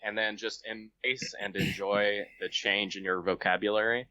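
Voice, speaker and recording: Bright, forceful low-voiced man chatting. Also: fairly even intonation, moderate pace, no room sound, muffled recording. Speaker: podcast guest, author. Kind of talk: casual podcast chat.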